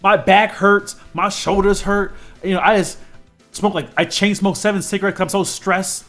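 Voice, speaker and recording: A man's voice: high voice, normal speed. Chatty twenties male streamer, music behind. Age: 20s. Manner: rambling, animated, frequent fillers and restarts. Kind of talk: casual live-stream chat while drawing.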